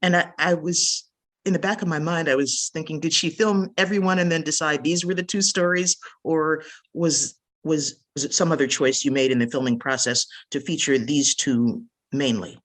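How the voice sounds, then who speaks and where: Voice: mid-range voice, steady tone, normal speed, a bit bright. An interviewer for a film festival podcast, asking long, thoughtful questions with small restarts.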